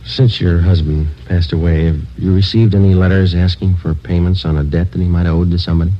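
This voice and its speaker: An actor playing a stoic, terse police sergeant in a 1950s radio drama, deadpan and matter-of-fact. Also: male voice, sits low, fast talker, natural voice. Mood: businesslike. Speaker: lead actor and narrator. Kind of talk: 1950s radio drama acting, clipped narration. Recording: old muffled radio recording with music.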